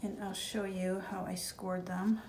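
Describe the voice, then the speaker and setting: Slow-talking woman demonstrating baking at home. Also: mid-range voice, flat delivery, darkish tone, echoey room, slight background noise, rough recording. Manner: chatty and encouraging, talks to viewers as "you guys". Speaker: home baking video host. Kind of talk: casual step-by-step baking demo. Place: home kitchen, indoors.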